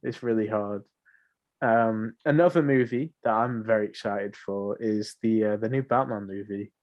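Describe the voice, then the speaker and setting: A man's voice: on the low side, normal speed, dull audio. A man in casual podcast conversation about entertainment, speaking informally and giving his opinions freely. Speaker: podcast host. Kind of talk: casual film podcast chat.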